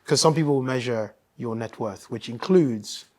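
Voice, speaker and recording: A man from Nigeria, a guest in a casual interview, talking thoughtfully with many pauses and restarts. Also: mid-range voice, a little mellow.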